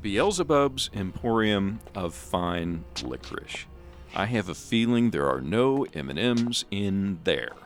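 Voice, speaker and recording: Man playing a sarcastic, grumbling guy, swooping pitch. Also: sing-song, slow, soft background music, full character voice. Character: exasperated, sarcastic trick-or-treating man griping about a dull hell. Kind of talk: comedic radio play acting.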